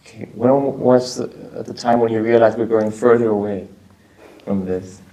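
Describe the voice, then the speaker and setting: A man hosting a podcast, asking relaxed, loosely worded questions filled with "like". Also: fairly low pitch, normal speed, rough recording. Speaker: podcast host. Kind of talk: casual interview questions.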